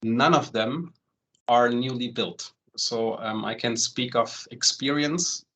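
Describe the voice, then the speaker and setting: A man in his forties, raised in Germany, leads an online session as a home loan consultant. He walks through the steps at an easy pace. His talk is loose and full of "um"s, and he keeps checking in with "right?". His voice sits at an average pitch for a man. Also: takes it slowly, poor audio. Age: 40s. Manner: relaxed, many "um" fillers and tag "right?".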